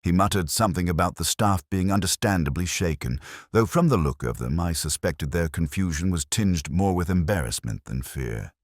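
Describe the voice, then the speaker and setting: A man narrating a scripted first-person detective mystery as a reflective, world-weary inspector, with a measured, brooding literary delivery. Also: low-pitched, lively intonation, no echo, studio quality.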